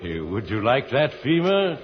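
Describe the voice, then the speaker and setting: A man playing an evil, gloating tyrant of Mars with a slow, menacing delivery in an old-time radio serial. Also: mid-range voice, sing-song. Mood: wicked glee. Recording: dull vintage radio recording, loud music.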